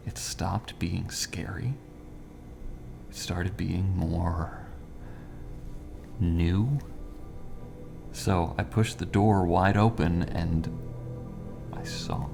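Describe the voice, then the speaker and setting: An actor playing a modest, entranced ordinary man in an audio drama, halting and trailing off in hushed wonder. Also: low-pitched, creaky, heavily acted. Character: awestruck ordinary male tenant, modest, entranced. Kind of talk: scripted podcast drama acting.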